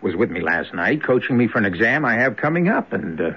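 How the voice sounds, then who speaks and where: An actor playing a defensive, gentle young male student in an old-time radio drama. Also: lowish voice, very animated, fast, breathy edge, full character voice. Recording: dull lo-fi old radio recording.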